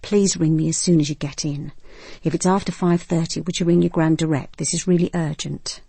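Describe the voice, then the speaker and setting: Actress as earnest social worker, husky, dark tone, formal, insistent message, radio drama. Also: female voice, low voice, steady tone, fairly quick, no echo, heavily acted. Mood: urgent.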